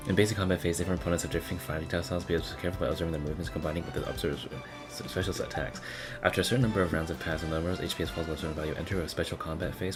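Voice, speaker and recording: A man streaming a game, casually commenting and reading game text aloud in a profane, reactive manner. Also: deep voice. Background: game music.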